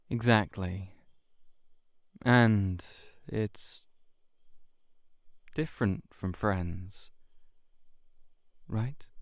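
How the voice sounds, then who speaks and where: Man acting as a shy heartbroken male friend, unhurried, low voice, halting confession, intimate audio roleplay, dry sound. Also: clean tone, no room sound, exaggerated voice. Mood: tentative, tender. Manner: hesitant, halting, confiding.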